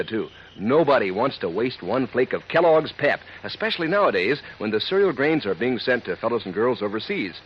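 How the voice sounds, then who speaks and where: A man reading a 1940s radio advertisement as a chummy, breezy, folksy salesman. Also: medium pitch. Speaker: cereal advertisement pitchman. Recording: muffled, noisy old radio recording.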